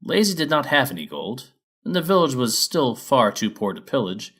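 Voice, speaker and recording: A man's voice: mid-range voice, big pitch swings, moderate pace, a bit bright, husky. A man reading a children's fantasy story as narrator, in scripted storybook narration.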